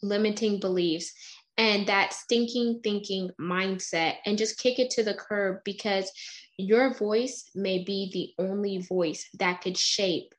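A woman's voice: mid-range voice, fairly even intonation, takes it slowly. A woman host speaks in a mid-range voice with motivational fervor. She builds her points in rhythmic, preachy runs of phrases. She sounds enthusiastic throughout. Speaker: interview host. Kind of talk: motivational interview talk.

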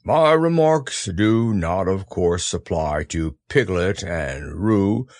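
A man doing a gloomy, slow old donkey. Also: low-pitched, animated, a little mellow, no echo, studio quality, exaggerated voice. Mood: pompous. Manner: slow, mournful, sighing drawl. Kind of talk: storybook read-aloud.

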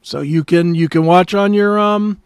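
A man's voice: high-pitched, normal speed, smooth, no room sound, studio quality. A man hosting a talk show in casual chat, with an informal, enthusiastic manner.

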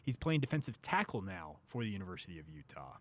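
A man's voice: sits low, sing-song, quick pace. A man with an American accent hosting a sports show with conversational commentary.

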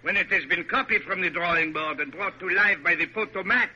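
High-voiced, haughty French major. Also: male voice, fairly quick, bright, forceful tone, husky, heavily acted. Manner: haughty, theatrical, indignant. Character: proud, temperamental French major, artist-planner of a capital city. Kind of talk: classic radio drama acting. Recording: dull, lo-fi old radio recording.

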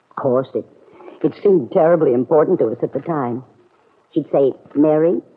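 Kindly aunt on tinny old radio. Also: female voice, sits low, breathy edge. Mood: reassuring, nostalgic. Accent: American. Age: middle-aged. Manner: soothing, genteel, reflective. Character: kindly, concerned middle-aged aunt, gentle and motherly. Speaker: radio actress. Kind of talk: classic American radio serial acting. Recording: noisy, narrow-band old radio recording.

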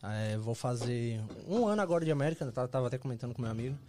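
Fast-talking young man from Pernambuco. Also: medium pitch. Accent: Pernambuco, Brazil, softened. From Pernambuco, Brazil. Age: 20s. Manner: relaxed storytelling with slang. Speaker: guest. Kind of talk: casual podcast chat.

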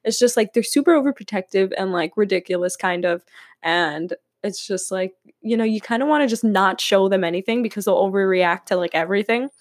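A woman's voice: mid-range voice, fairly quick, no echo, clean studio sound. A young woman from California hosting her own podcast, chatting casually and rambling with many fillers and frequent laughs, recorded at home. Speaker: podcast host. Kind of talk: casual solo podcast chat. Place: room at home with windows open.

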